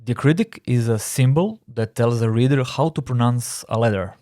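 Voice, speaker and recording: A man from Croatia with a Croatian accent, a native Croatian speaker, narrating a scripted design tutorial in a measured, explanatory way with slightly non-native phrasing. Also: medium pitch, warm tone, no room sound, studio quality. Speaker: design instructor.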